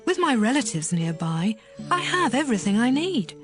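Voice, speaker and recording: A woman's voice: on the high side, sing-song, moderate pace, faint music behind. A woman playing a determined, earnest wife of ancient Israel in a dramatized scripture audiobook. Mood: content.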